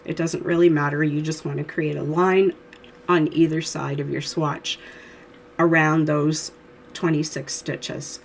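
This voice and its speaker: Hoarse lady with a cold doing a chatty knitting how-to, lowish voice, bit of hiss behind. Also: flat delivery, a little slow. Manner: friendly, chatty, with little laughs. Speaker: knitting teacher. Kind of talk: casual how-to tutorial.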